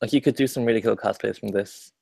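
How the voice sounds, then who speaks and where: A man's voice: on the low side, fairly even intonation, quick pace, gravelly, a little mellow, breathy edge. A young man, chatting casually as part of a book club discussion.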